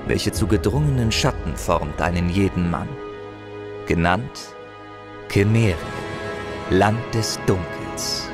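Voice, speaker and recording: A man's voice: low voice, animated, slow pace, pauses often, over music. A man acting a solemn, eloquent wandering adventurer and chronicler in an audio drama, with theatrical delivery. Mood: solemn, brooding.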